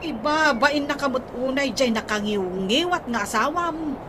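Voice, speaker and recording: A woman's voice: high-pitched, normal speed, heavy background noise, natural voice. A woman playing a protective, indignant, gossipy older sister in an Ilocano comedy radio drama, speaking emphatically.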